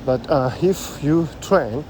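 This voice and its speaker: Japanese-accented man musing on a loud street. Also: on the high side. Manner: thoughtful, halting, many fillers. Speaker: vlogger. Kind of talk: musing monologue while walking. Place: busy city street outdoors. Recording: loud street traffic behind.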